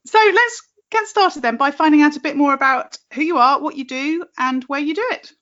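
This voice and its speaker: A woman's voice: high voice, moderate pace, a bit bright, dull audio. A woman hosting a podcast interview in a chatty, affirming, conversational way.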